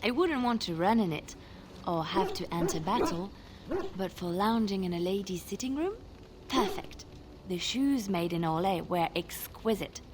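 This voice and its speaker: A woman playing a girlish, wistful young companion in a fantasy video game, with an expressive delivery. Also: medium pitch, moderate pace, background noise, lightly acted. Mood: dreamy, enthusiastic. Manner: dreamy, expressive.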